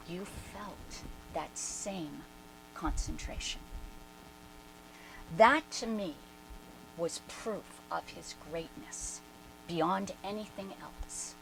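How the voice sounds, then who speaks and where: A woman performing a dramatic reading as an awestruck devotee who fondly recalls her spiritual master, theatrical and emphatic, with deliberate pauses. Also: fairly low pitch, creaky. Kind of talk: dramatic reading of a first-person reminiscence. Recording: noisy live recording.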